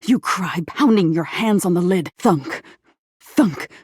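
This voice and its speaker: A woman voicing an eerie, thoughtful nightmare spirit in a video game, measured and breathy, often slipping toward a whisper. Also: fairly low pitch, animated, a little mellow, no room sound, clean studio sound, full character voice. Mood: ominous, hushed. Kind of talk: scripted game character acting, intimate second-person storytelling.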